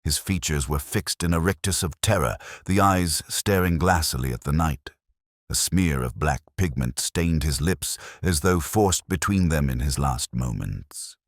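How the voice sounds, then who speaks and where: An extremely deep male voice narrates a dramatic story in a formal, measured way. The sound is a little husky and recorded dry and close, with no room around it. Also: no room sound. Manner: measured, formal, literary delivery. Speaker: audiobook narrator.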